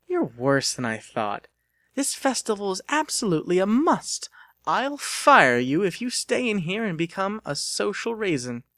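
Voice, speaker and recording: A man acts out a gleeful woman garage boss for a storybook. The pitch is pushed up and leaps about freely. The delivery is bossy, teasing and full of exuberance, recorded close and dry. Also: big pitch swings, a little slow, sharp tone, no echo, exaggerated voice. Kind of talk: dramatic audiobook character reading.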